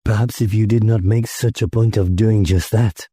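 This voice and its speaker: A man's voice: on the low side, steady tone, normal speed, warm tone, slightly rough, no room sound, studio quality. A man voicing a diffident, reasonable young male friend in an audiobook, speaking tactfully and hesitantly. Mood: tactful concern. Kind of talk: audiobook character acting.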